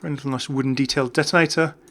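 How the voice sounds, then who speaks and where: A man with a bright, forceful voice at a middle pitch gives casual video game commentary. He is chatty and jokey, rambling along in an unscripted way. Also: steady tone, rapid-fire. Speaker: gaming YouTuber.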